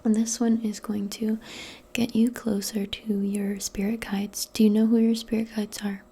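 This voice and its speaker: A woman doing a soft-spoken ASMR roleplay, mostly in a breathy whisper, with a gentle, reassuring and hesitant way of talking. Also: medium pitch, level tone, normal speed.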